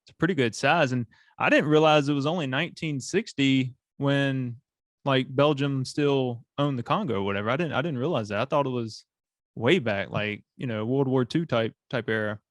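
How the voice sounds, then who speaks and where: A man's voice: medium pitch, moderate pace, dry recording, studio quality. A man hosting a coffee podcast, asking curious questions in a laid-back, slangy way. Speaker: podcast host. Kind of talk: casual interview.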